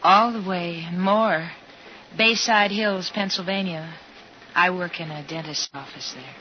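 A woman's voice: on the low side, a little slow, natural voice. An actress playing a chatty, prim, homespun young woman in a 1950s radio drama, gushing and easily flustered. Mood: bright, gushing. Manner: gushing, flustered, old-fashioned exclamations. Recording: muffled, noisy 1950s radio recording.

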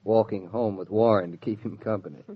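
An actor playing an earnest, gentle young American husband in a 1940s radio drama. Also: male voice, fairly low pitch, fairly even intonation, fairly quick, breathy edge. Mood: tender, thoughtful. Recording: dull 1940s radio transcription.

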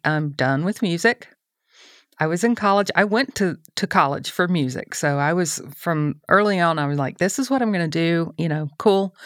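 A woman's voice: sits low, fairly bright tone, dry recording, studio quality. A middle-aged woman hosting a casual chat, speaking in a reflective, encouraging way.

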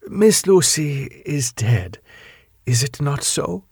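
An elderly foreign doctor of solemn, stern temper, as a male narrator plays him in a classic storybook reading. He speaks slowly and gravely with a gravelly creak, pleading with weight, and the sound is close and dry. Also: fairly high pitch, very animated, darkish tone, no room sound, heavily acted. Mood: measured, insistent.

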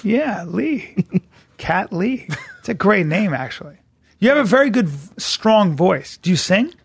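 A man co-hosting a podcast in casual chat, his voice pitched a little high with a gravelly edge. He drives his points home in an emphatic, lecturing way and mixes in jokes. The studio sound is dry and close. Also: very animated, unhurried, dry recording. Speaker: podcast co-host.

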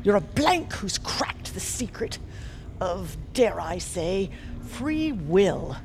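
A woman's voice: medium pitch, slow. A woman voicing an imperious, cruel queen who is scornful and prone to explosive outbursts. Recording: steady background noise.

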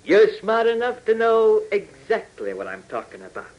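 A sneering slangy radio thug, higher-pitched. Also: male voice, wildly swooping pitch, normal speed, lo-fi sound. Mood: smug, sneering. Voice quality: slangy tough-guy speech. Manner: mocking, menacing, chuckling taunts. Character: sneering young-to-middle-aged male thug guard, taunting wiseguy. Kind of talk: 1940s radio acting. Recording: old 1940s radio recording.

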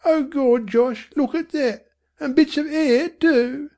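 A man's voice: very high voice, fairly even intonation, a little slow, creaky, darkish tone, voice pushed up, exaggerated voice. A man voicing an anxious working-class London mother, whimpering and pleading. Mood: frightened.

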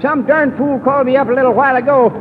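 A cranky, folksy engineer with a rural American accent, hollering in an extremely high voice. The old radio comedy sound is reverberant, dull and faintly noisy. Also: male voice, steady tone, fast. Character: cranky, hard-of-hearing, rustic male pump-house engineer. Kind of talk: 1940s radio comedy acting.

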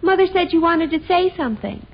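An actress playing a gushing, dramatic teenage daughter in a 1950s radio sitcom. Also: female voice, very high voice, lively intonation, quick pace. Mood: polite. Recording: dull vintage radio broadcast.

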